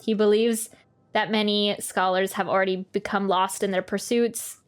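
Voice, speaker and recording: A woman doing a casual video game playthrough, reading game text aloud and adding wry asides. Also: medium pitch. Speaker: game streamer. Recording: game music behind the voice. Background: video game music.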